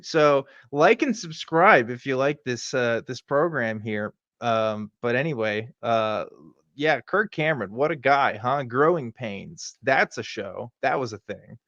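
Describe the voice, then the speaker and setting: A man's voice: medium pitch, lively intonation, measured pace, fairly bright tone, no room sound, muffled recording. A man hosting a live show, chatting casually and joking in a sarcastic manner. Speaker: host of a live weekly show. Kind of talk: casual, joking commentary chat.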